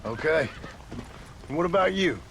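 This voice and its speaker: An actor playing a gruff, plain-spoken Old West gunslinger with an American accent in a video game cutscene, terse and earnest. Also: male voice, on the high side, animated, normal speed, a little hiss or hum, poor audio. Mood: terse, guarded. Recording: loud outdoor game ambience, animals, hooves.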